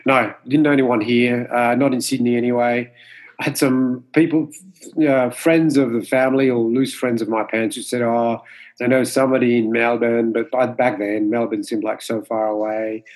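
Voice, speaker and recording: A man in his forties from Mauritius, whose first language is Creole, giving thoughtful interview answers with many pauses and fillers. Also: medium pitch, little pitch movement, poor audio. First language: French Creole. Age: 40s. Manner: measured, with many fillers and restarts. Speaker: martial arts instructor, guest.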